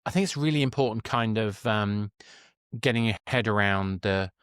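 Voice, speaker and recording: A man's voice: lowish voice, normal speed, fairly bright tone, clean tone, no echo, clean studio sound. A man hosting a casual interview, searching for his words as he thinks aloud. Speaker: podcast host, interviewer.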